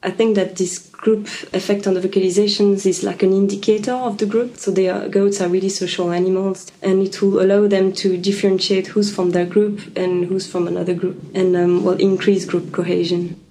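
Soft-spoken woman scientist. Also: mid-range voice, little pitch movement, brisk, few pauses, poor audio. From Switzerland. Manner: thoughtful, hesitant, many ums and uhs. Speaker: biologist guest. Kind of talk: interview answers.

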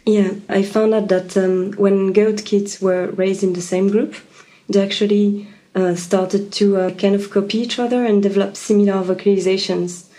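A woman from Switzerland, a biologist answering interview questions thoughtfully, with frequent ums and pauses. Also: medium pitch, flat delivery, dark tone, rough recording. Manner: thoughtful, hesitant, many ums and uhs. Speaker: biologist guest.

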